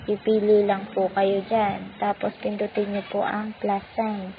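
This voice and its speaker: Woman, Filipino accent, patient polite tutorial host, slow, thin phone-like sound, faint background hiss. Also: medium pitch, monotone. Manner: polite, patient, uses many "po" courtesy markers. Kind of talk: step-by-step phone app tutorial. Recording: tinny phone-like audio with faint noise.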